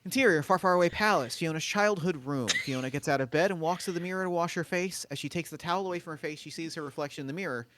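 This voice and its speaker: A man narrating stage directions at a live table read in a brisk, plain delivery. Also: fairly high pitch, a bit bright, a little hiss or hum.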